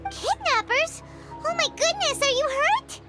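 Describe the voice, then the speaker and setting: A woman voicing a small, chatty, excitable childlike fairy companion in a video game, squeaky and exclamatory. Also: unhurried. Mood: excited, alarmed. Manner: exclamatory, refers to herself in third person. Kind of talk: video game character acting. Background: music.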